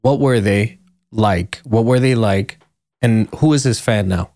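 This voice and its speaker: A man's voice: fairly low pitch, a little slow, a little mellow, no echo. A man co-hosting a casual podcast chat who asks hesitant questions and restarts his sentences. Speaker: podcast co-host.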